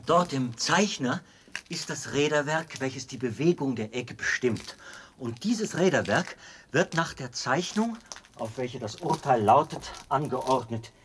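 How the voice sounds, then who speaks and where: A fanatically meticulous military officer in a radio drama. He lectures in a mid-pitched voice with proud, fervent precision, over faint background noise. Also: lively intonation, measured pace, fairly bright tone. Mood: proud, insistent. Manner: pedantic, explanatory, fervent. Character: zealous, meticulous male officer proudly explaining an execution machine. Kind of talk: German radio play acting.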